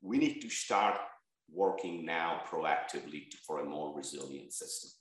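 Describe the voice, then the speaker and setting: A man's voice: very deep voice, moderate pace, very echoey. A man from Bangladesh, an energy research head, giving earnest interview answers and listing points with stress on key words.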